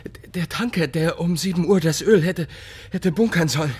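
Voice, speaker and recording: An actor playing an anxious, subservient male clerk in a radio drama, halting and agitated. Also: on the high side, a little mellow, breathy edge. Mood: breathless, alarmed. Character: flustered, anxious, subservient male office manager. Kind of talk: German radio drama acting.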